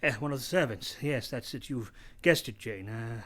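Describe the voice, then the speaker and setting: An actor playing a brusque, teasing, moody gentleman master of the house in a radio play, imperious and halting. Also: mid-range voice, expressive. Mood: urgent, secretive. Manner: imperious, abrupt, probing, halting delivery.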